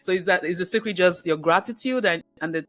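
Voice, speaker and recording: A woman's voice: on the low side, fast, fairly bright tone, no echo, faint music behind. A woman hosting a podcast, asking questions and encouraging her guest with frequent "mm-hmm" and "wow". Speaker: podcast host. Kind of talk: interview questions and backchannel.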